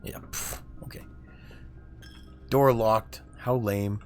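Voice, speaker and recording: A man streaming a video game, talking through his moves in a low-key, muttering way. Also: fairly even intonation, slow, darkish tone, faint music behind. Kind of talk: casual gameplay commentary.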